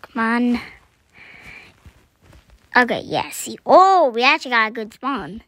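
A woman's voice: a little high, very animated, a little slow, pushed and bright, no room sound. Young woman with a scratchy morning voice. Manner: chatty, self-deprecating, often drops to a whisper. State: scratchy, throat-clearing voice. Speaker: gaming channel host. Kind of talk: casual gameplay commentary.

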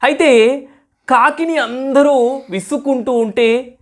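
A woman's voice: sits high, takes it slowly. A woman telling a moral story as host, teacherly, asking questions and repeating answers as if to a young child.

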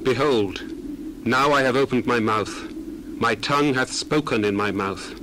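A man's voice: medium pitch, measured pace, noisy background, natural voice. An actor playing an earnest, indignant young man of ancient times, declaiming solemnly in a dramatized scripture reading. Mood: impassioned. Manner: declamatory, solemn, archaic phrasing.

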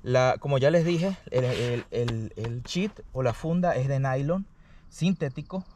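A man's voice: medium pitch, unhurried, darkish tone. A man presents a hands-on gear review in a casual, explanatory way. He often checks that the listener is following. His mid-range voice sits slightly away from the microphone, with faint noise behind it. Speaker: product reviewer, channel host. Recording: distant mic, faint background noise.

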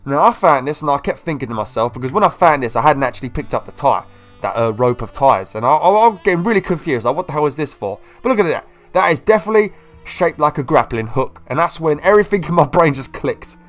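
A man's voice: fairly high pitch, fast talker, soft background music. Chatty man, sniffly let's-play. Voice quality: chatty, excitable, sniffly from a cold. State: slightly ill, sniffly. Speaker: gameplay video host. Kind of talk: casual let's-play commentary.

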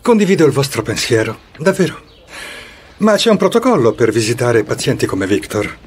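Casting: man as older institute director, husky and formal, condescending courtesy with lively intonation, dubbed drama. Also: mid-range voice, normal speed. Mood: politely firm. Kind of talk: amateur Italian fan dub of a TV drama.

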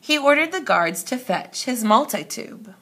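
A woman reading a children's story aloud in an expressive narrating style. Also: high voice, a little slow, breathy edge. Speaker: storybook reader.

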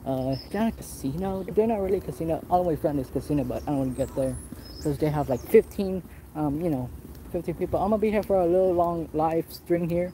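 A slightly high-voiced man talks into a handheld phone as he walks outside, rambling, cursing and breaking off mid-sentence. Steady outdoor noise runs under the phone audio, and his voice sits a touch above the usual male range. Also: fast, poor audio. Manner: rambling, profane, restarts often. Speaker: vlogger. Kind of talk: casual walking vlog. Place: outdoors on a resort path. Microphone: phone camera held while walking.

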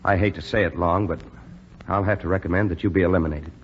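An actor playing a stern, unyielding lieutenant flight instructor, clipped and authoritative, in a 1940s radio drama. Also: male voice, deep voice, fairly even intonation, fast, loud music behind the voice, natural voice. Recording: dull 1940s radio recording, faint noise.

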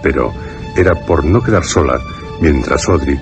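A man's voice: a bass voice, moderate pace. A man narrating a scripted Spanish radio drama as storyteller. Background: music behind the voice.

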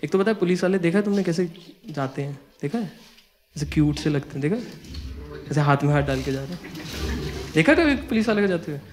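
A young man telling a comic story on stage, acting out dialogue in an animated, slangy way. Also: fairly high pitch, fast, long pauses. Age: young adult. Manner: animated, acts out dialogue in conversational Hindi slang. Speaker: stand-up comedian. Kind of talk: stand-up comedy storytelling. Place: small comedy club stage with audience. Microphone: handheld microphone.

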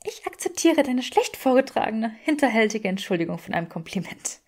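A woman voicing an earnest, sharp-witted, bookish young woman in an audiobook, prim and mock-serious. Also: a little high, sing-song, brisk. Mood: prim teasing.

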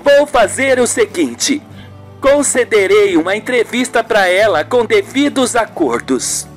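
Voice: mid-range voice, sing-song, unhurried. One performer acting out an earnest, melodramatic soap heroine. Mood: resolute. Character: Rebeca, passionate anguished woman in love, soap heroine. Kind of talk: radio soap opera acting. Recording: music behind the voice.

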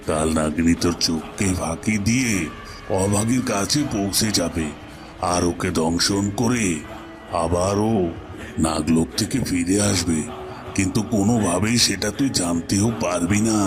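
A man playing a scheming evil tantric sorcerer in a cartoon, with a menacing, drawn-out and mock-pitying delivery. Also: low-pitched. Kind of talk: dramatic cartoon voice acting. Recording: music bed under the voice.

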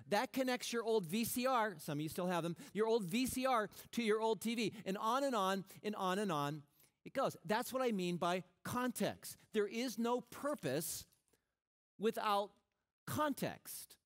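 A middle-aged man preaching a sermon on a church stage, animated and conversational, often restarting his sentences. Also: high voice, unhurried. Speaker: pastor.

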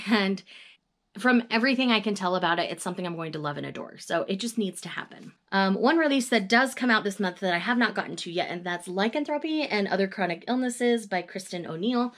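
A woman's voice: mid-range voice, animated, fairly quick, a bit bright. A woman, chatting casually and cheerfully about her reading plans, laughing now and then. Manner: chatty and upbeat, laughs between book titles. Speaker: book vlogger. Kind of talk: casual reading-plan chat. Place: small room in front of full bookshelves. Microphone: webcam or camera at desk distance.

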